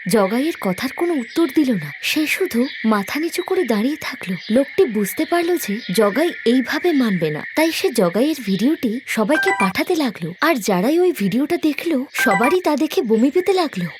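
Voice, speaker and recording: High-pitched, animated woman storyteller. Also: normal speed, noisy background. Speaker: story narrator. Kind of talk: expressive scripted moral-story narration.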